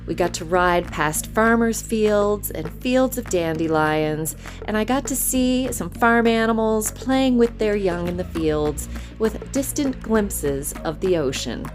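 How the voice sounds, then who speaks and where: A woman vlogger speaking in a chatty, enthusiastic way, casually reviewing motorcycle gear and narrating rides. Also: medium pitch.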